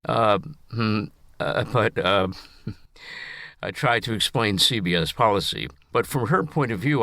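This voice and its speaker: A man's voice: lowish voice, a bit bright, dry recording, studio quality. An older man reading his own personal story in a scripted narration style.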